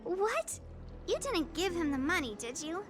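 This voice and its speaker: A woman's voice: very high voice, big pitch swings, measured pace, noisy background, full character voice. A woman voicing a sweet, earnest and apologetic young shop clerk in a video game. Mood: worried.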